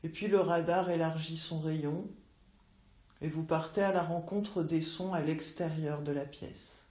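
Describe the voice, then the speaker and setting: A woman teaching yoga nidra, guiding relaxation in measured instructional phrases with long pauses. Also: low voice, fairly even intonation, mellow. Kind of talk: slow guided relaxation meditation. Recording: roomy, echoey voice recording.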